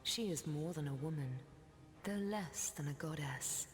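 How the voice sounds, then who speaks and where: Ancient, slow, cavernous-sounding mystic seer. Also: female voice, low voice, soft background music. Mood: mysterious, solemn. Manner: solemn, cryptic, archaic phrasing. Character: ancient mystical female seer who weaves threads of time. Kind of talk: scripted fantasy game dialogue. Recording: long cavernous reverb.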